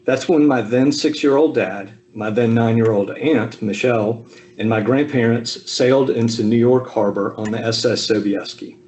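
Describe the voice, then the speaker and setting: A man in his fifties from the American South, giving a relaxed personal talk over a video call with frequent ums and asides. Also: medium pitch, moderate pace, a little hiss or hum. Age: 50s. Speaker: speaker at a Zoom talk. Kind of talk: personal family history talk.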